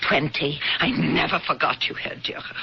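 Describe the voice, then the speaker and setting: An actress in a 1970s radio drama playing a grim, determined woman avenging her family, deliberate and bitterly sardonic in formal wording. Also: sits low, gravelly. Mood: bitter, haunted. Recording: dull, noisy old radio broadcast.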